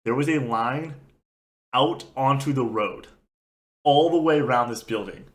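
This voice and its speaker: A young man co-hosting a casual gaming podcast, telling a rambling, animated story while getting over being sick. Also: mid-range voice, unhurried. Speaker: podcast co-host.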